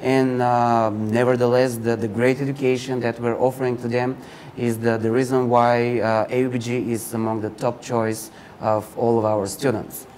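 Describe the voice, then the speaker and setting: A man from Bulgaria with a Bulgarian accent, giving informative interview answers as a university recruiter, pausing mid-phrase. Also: mid-range voice, level tone, darkish tone. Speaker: university recruiter and admissions counselor, interview guest. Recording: clear background ambient noise.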